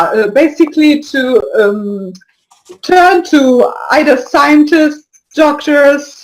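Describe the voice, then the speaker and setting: A woman speaking as an interview guest in casual talk, halting, with many restarts and soft laughs. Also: high voice, low-quality recording. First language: not French. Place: lives in Brussels area.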